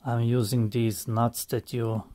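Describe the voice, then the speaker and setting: A man's voice: mid-range voice, flat delivery, unhurried, mellow. A man talking through his DIY build in a home workshop, thinking aloud with many fillers, restarts and some phrasing. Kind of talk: unscripted workshop walkthrough.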